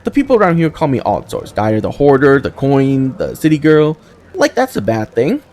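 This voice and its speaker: A man's voice: medium pitch, wildly swooping pitch, normal speed, a little mellow, voice pushed up. A man voicing a brash, greedy mongrel woman trader from a fantasy game, with blunt hawker's patter. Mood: brash, hard-selling.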